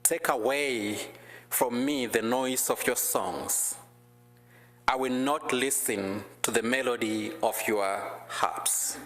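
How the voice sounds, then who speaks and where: Slow, deliberate preacher in echoing hall. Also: male voice, mid-range voice, fairly bright tone, faint music behind. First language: not English. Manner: slow, deliberate, careful phrasing. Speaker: guest preacher. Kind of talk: prayer and sermon. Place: church sanctuary. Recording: echoey church hall.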